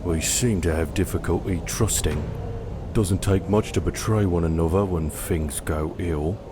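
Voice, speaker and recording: A man voicing a stern, impatient admiral of a dark army in a fantasy audiobook, gruff and commanding. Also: low voice, measured pace, noisy background. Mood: brooding. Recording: clear ambience behind voice.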